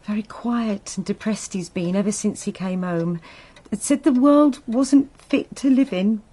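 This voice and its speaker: An actress playing an evasive working-class English woman posing as a bereaved sister in a radio drama, fussy, halting and over-apologetic. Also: mid-range voice, mellow, husky. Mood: mournful, flustered.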